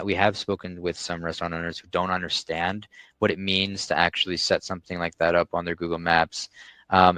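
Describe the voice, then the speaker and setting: A man's voice: low-pitched, fairly bright tone, no room sound. A young man, a guest on a podcast, talking casually about his business and life, with many restarts and fillers. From Canada. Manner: talkative, frequent restarts and fillers. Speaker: guest, founder of a restaurant tech company.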